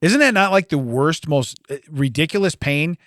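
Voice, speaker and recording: A man in his late forties, co-hosting a casual podcast chat, animated and laughing often as he acts out little scenes. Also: fairly high pitch, normal speed, dry recording, clean studio sound. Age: 40s. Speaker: podcast co-host.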